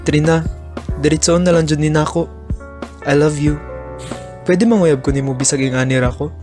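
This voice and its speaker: A young man playing a gentle heartbroken young man who shyly courts a new love, in a student radio drama. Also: on the high side, fairly even intonation, moderate pace, faint music behind, natural voice. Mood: nervous. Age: young adult.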